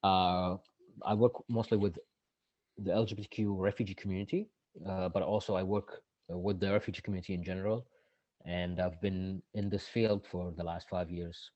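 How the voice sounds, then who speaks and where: A man, a guest answering interview questions in a halting way and often restarting mid-sentence. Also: low voice, little pitch movement, a little mellow, dry recording. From Iraq. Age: 30s. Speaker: guest, activist. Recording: dull, lo-fi dry recording.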